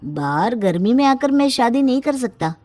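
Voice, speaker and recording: A woman's voice: fairly high pitch, sing-song, normal speed, a little mellow. Woman as a stubborn, nonchalant young groom.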